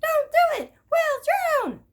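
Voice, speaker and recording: A tiny, bossy storybook mouse, played by a woman, squeaks its protests at an extremely high pitch. The voice is insistent and exclaiming, pushed far up into a cartoonish register. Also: huge pitch swings, slow pace, exaggerated voice. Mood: panicked protest.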